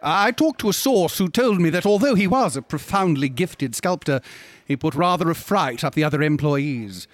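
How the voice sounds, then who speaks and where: A man's voice: on the high side, lively intonation, moderate pace, dry recording, close to an everyday voice. An actor playing an affable, gossipy British newspaperman in a radio drama, polite and understated. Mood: intrigued, confiding.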